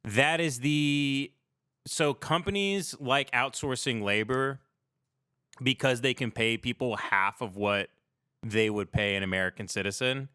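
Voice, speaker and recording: Bright, clear-voiced man chatting casually. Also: mid-range voice, a little slow, no echo, clean studio sound. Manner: rambling, profane, irreverent storytelling. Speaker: livestream show host. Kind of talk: casual comedic chat.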